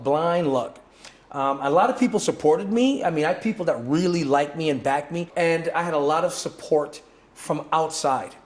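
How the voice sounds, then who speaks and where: A middle-aged man from Jamaica tells stories in an interview, his voice sitting a little high for a man. He keeps acting out other people's lines in shifting voices and often restarts his sentences. The room around him sounds echoey. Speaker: martial arts instructor and guest. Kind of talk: animated storytelling in conversation.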